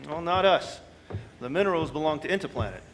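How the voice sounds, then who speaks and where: A man playing a brisk, worldly senior asteroid supervisor in a radio drama, bossy, wry and gruffly friendly. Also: a little high, expressive, a little hiss or hum, close to an everyday voice, low-quality recording. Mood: explaining, wry.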